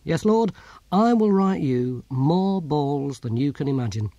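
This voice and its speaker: Storybook old philosopher, acted by a man: blunt, dryly funny, declamatory, high and animated. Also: a little slow, no echo, muffled recording. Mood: mock submissive. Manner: theatrical, declaiming, dry humour. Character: old male philosopher, blunt, wry, gleefully defiant.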